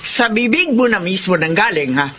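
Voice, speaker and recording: A woman's voice: medium pitch, expressive, normal speed, a bit bright, slight background noise, close to an everyday voice. A woman playing an older grandmother in a radio drama, concerned, indignant and reproachful as she stands up for her grandson. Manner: scolding, indignant.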